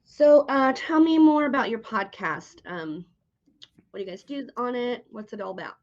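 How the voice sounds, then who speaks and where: A woman's voice: on the high side, lively intonation, normal speed, lo-fi sound. A woman hosting a casual interview, speaking informally and correcting herself as she goes. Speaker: interviewer, host.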